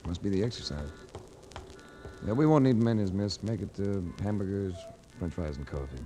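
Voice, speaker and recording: An actor playing a cool, laconic private investigator in a 1940s radio drama. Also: male voice, sits low, expressive, normal speed, warm tone, soft background music. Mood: wry, probing. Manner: laconic, wry. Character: cool, wry hard-boiled private investigator, a man. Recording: noisy vintage 1940s radio recording.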